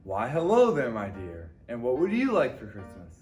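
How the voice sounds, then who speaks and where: A man's voice: mid-range voice, wildly swooping pitch, normal speed, darkish tone, soft background music. An actor playing a cheery, folksy male Santa's helper and salesman in an old-time radio advertisement. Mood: cheery, salesy.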